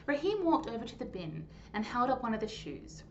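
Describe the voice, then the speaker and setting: Lively, high-voiced woman reading to children. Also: brisk. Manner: animated, clearly phrased storytelling. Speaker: storytime host and reader. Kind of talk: expressive children's story reading. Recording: dull lo-fi sound, faint noise.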